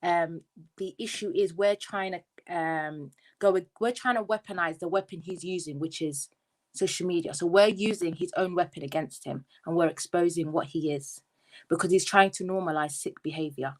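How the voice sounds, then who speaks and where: A woman speaking as a guest on a live online panel, her voice on the low side. She explains her point earnestly, with frequent fillers along the way. She is heard over a video-call mic. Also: moderate pace. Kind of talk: casual panel chat.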